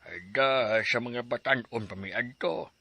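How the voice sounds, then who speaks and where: A man's voice: mid-range voice, measured pace, creaky, bright, forceful tone, full character voice. An actor playing a joking middle-aged father who teases and reminisces in a radio drama. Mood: teasing. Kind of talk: Cebuano radio drama acting.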